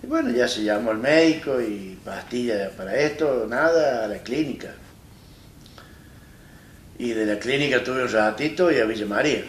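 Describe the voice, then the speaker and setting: A man's voice: medium pitch, expressive. Argentine man, roomy distant studio sound. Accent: Argentine Spanish. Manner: plain-spoken, halting and retelling with many restarts. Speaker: interview guest. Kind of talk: personal story in a studio interview. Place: small studio set, seated in armchair. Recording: roomy echo, distant mic, faint hiss.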